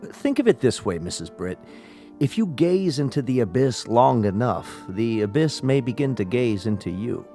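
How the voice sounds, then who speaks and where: A grave, courteous family doctor explains things formally in a radio play. His mid-range voice rises and falls widely, deliberate and professorial, over faint music. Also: medium pitch, sing-song, warm tone. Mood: grave, explanatory. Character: measured, pedantic male family doctor, gravely polite. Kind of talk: radio drama acting.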